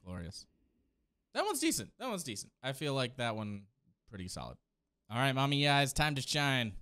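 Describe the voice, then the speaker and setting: A man's voice: medium pitch, very animated, moderate pace, bright, forceful tone, no room sound. A man in his thirties giving enthusiastic, slangy live reaction commentary into a close mic. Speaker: streamer reacting to game trailers. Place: small home streaming room. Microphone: close desk microphone. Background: faint game trailer music.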